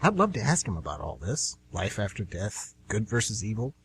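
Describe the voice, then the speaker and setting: A man's voice: on the low side, very animated, normal speed, gravelly, breathy edge, heavily acted. A man playing a hopeful, earnest train passenger and devoted father in an amateur radio drama, somewhat hesitant in speech. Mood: hopeful, curious.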